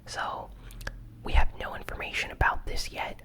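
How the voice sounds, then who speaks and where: A host giving a casual gaming news recap in a soft, unvoiced ASMR whisper, with unhurried, hesitant phrasing.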